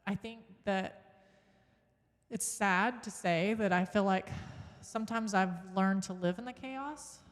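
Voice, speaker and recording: A woman's voice: mid-range voice, unhurried, big-room sound. A woman co-hosting a podcast, chatting candidly and reflecting on her past. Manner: candid, reflective, laughs often. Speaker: podcast co-host. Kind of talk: casual chat.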